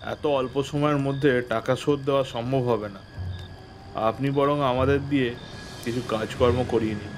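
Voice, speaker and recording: An actor as an indebted gentleman, a man with a medium-pitched voice, hurt yet polite as he bargains in radio drama style. Music plays clearly behind him. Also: fairly even intonation, moderate pace, natural voice. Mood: aggrieved, pleading. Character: aggrieved male debtor, pleading politely for leniency. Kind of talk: audio drama acting.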